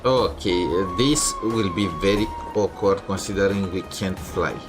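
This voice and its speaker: Low-voiced man giving wry game commentary. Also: a little slow, over music. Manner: wry, skeptical asides. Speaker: streamer playing a game. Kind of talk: casual gameplay commentary.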